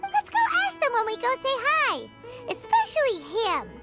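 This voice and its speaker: A woman's voice: very high-pitched, huge pitch swings, normal speed, full character voice. A woman voicing a tiny, chatty female fairy companion who talks about herself in the third person. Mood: chirpy. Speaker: guest commentator. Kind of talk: video game voice acting. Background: game music.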